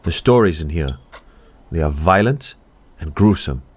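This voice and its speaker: An actor playing a gentle, principled professor in a period audio play. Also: male voice, sits low, expressive, a little slow, husky, full character voice. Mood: disapproving. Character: gentle, principled German professor, a grown man.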